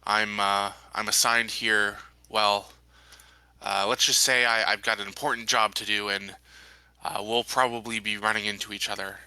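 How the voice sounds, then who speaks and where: Man, very bright hard tone, dry close sound, hesitant, measured, role-play improvisation. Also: on the low side, little pitch movement, clear, resonant tone, no room sound. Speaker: tabletop role-playing player. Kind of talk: improvised role-play and casual table talk.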